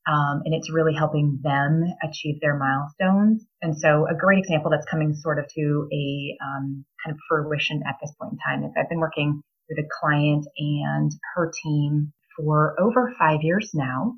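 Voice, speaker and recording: Low-pitched business-coach guest, 40s, measured delivery full of pauses; muffled lo-fi audio. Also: female voice, steady tone. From New Hampshire, US. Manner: measured, many ums, thoughtful pauses. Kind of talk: interview conversation. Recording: dull lo-fi audio.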